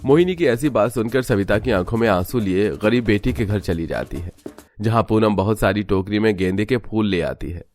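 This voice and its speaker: A man's voice: lowish voice, expressive, normal speed, a little mellow, faint music behind. A man giving scripted narration for a cartoon story.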